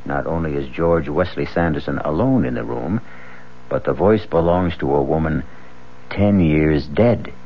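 A man hosting a radio mystery, narrating deliberately and ominously with dramatic pauses. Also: very deep voice, slight background noise. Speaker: host and narrator. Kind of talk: suspenseful scripted radio narration. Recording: muffled 1970s radio broadcast. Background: music.

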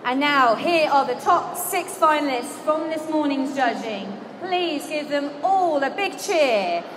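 A woman working as ring announcer in a large indoor exhibition hall, calling out names slowly and clearly over a public-address system. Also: high-pitched, animated. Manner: slow, declamatory announcing of names. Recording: crowd and hall noise.

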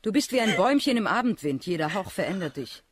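A stern, patronising countess with a husky edge and animated pitch, in a dry radio play recording. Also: female voice, quick pace, no room sound. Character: stern, patronising noblewoman, a countess scolding her charge. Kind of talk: German radio play acting.

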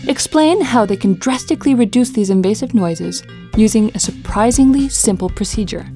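Woman narrating over loud music. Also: on the high side, expressive, moderate pace. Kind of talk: scripted documentary narration. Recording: studio voice-over with music behind.

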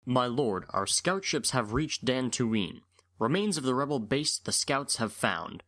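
Guy playing a dutiful officer giving a report in old verse, forceful bright tone, dry. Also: medium pitch, animated, unhurried, no echo. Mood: formal. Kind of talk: Shakespearean dramatic reading.

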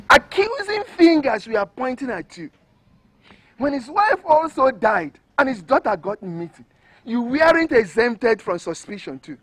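A man's voice: high-pitched, a bit bright, breathy edge, no room sound, full character voice. An actor with a Nigerian accent playing a blunt, accusing older village man in a film drama, scolding and questioning.

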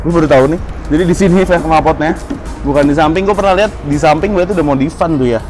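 A man's voice: a little high, racing. Santai young man. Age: young adult. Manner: relaxed, joking, slangy, talks while working. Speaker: vlogger. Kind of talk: casual vlog chat. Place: open garage courtyard, outdoors. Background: music.

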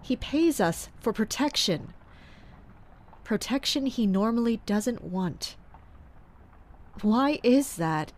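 An actress playing a dutiful woman captain of royal guards in an audio drama, measured, blunt and restrained. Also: a little high, lively intonation, darkish tone. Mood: brooding. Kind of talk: audio drama acting with inner monologue.

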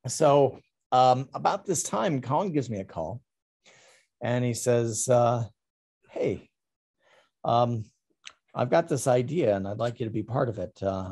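A middle-aged man talking casually about his artwork on a video call, halting with many ums and restarts. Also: mid-range voice, a little mellow. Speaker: artist presenting his work. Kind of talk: casual talk over a slide presentation.

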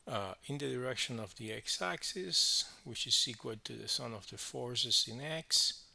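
A man teaching physics, explaining a worked problem step by step in halting phrases with frequent verbal checks. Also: mid-range voice, lively intonation, gravelly, bright, forceful tone, husky.

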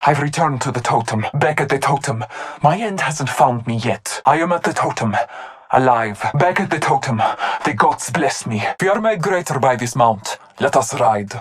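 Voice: mid-range voice, animated, moderate pace, natural voice. An actor playing a devout, honor-bound masked hunter warrior in a video game, speaking in formal, solemn callouts. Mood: reverent, resolute.

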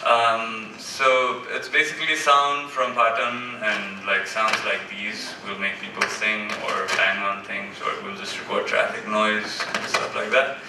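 A man's voice: on the low side, a little slow, hardly any pauses. A man on a festival panel, talking casually and joking with the audience, recorded from far off in a large hall. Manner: relaxed, joking, trailing off. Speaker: panelist musician. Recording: echoey hall from far off, noisy.

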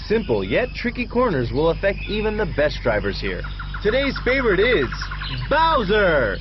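A man's voice: huge pitch swings, normal speed. A man voicing an upbeat race broadcaster for a kart game, calling each course with rising hype. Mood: hyped. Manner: rising hype on course names, swinging pitch. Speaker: race announcer. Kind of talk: excited game announcer. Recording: dull game audio with noise.

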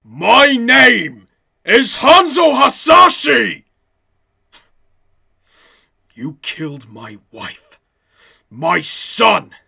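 A young man voicing a vengeful, grieving ninja warrior from a fighting game, forceful and menacing. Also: very high-pitched, very animated, gravelly, breathy edge, pitched above the performer's own voice, exaggerated voice. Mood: anguished rage. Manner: forceful, menacing, drawn-out shouting.

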